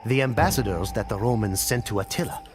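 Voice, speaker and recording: A man giving solemn, measured, scripted dramatic narration in the manner of a storyteller for a game cutscene. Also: lowish voice. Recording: music playing under the voice. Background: clear background music.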